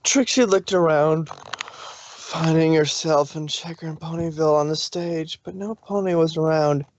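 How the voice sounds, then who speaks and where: A man's voice: on the high side, steady tone, a little slow, lo-fi sound, a little hiss or hum. A man steadily narrating a fanfiction reading. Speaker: reader.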